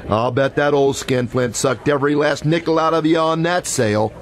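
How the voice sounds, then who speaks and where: An actor playing a cocky, clueless, wisecracking police detective in a comic old-time radio detective drama. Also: male voice, fairly high pitch, moderate pace, natural voice. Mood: clueless, flippant. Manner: cocky, slangy, oblivious chatter. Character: brash, clueless, wisecracking male hard-boiled police detective.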